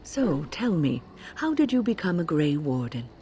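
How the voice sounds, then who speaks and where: A kindly older woman mage from a fantasy game, with a mellow, soft-edged voice. She speaks in a gentle, measured and consoling way, like a wise companion. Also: low-pitched, very animated, a little hiss or hum. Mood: curious, concerned. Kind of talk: fantasy video game dialogue.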